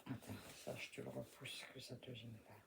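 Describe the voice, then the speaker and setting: A woman's voice: a bass voice, steady tone, moderate pace, gravelly, background noise. A woman casually explaining an embroidery project to her co-host and viewers while she works. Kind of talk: casual how-to chat.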